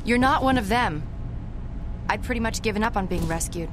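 A woman's voice: a little high, lively intonation, brisk, background noise, natural voice. An actress playing an anxious, stubborn young woman in a video game, pleading and insistent. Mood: wary. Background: music.

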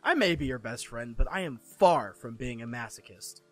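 A man voicing a bossy, theatrical teenage best friend in a visual novel, scolding loudly in mock-stern tones. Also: mid-range voice, expressive, normal speed, soft background music, voice pushed down, natural voice.

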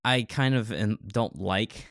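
A man's voice: lowish voice, slow pace, fairly bright tone, no echo, studio quality. A man co-hosting a podcast in casual tech discussion, talking in a rambling, opinionated way. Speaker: podcast co-host and web developer.

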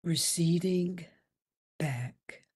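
A woman guides a meditation in a slow, relaxed voice. Her tone is breathy and a little husky, and she leaves long gaps between short, soothing phrases. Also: lowish voice. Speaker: meditation instructor.

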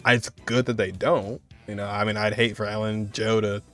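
A man's voice: lowish voice, flat delivery, normal speed, a bit bright, soft background music. A young adult man hosting a gaming reaction video with casual commentary.

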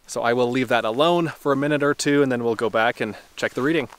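A man's voice: mid-range voice, fast talker. A young adult man narrating a casual outdoor travel vlog, easygoing and thinking aloud, with small laughs as he goes. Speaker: travel vlogger. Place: outdoors at a remote test site.

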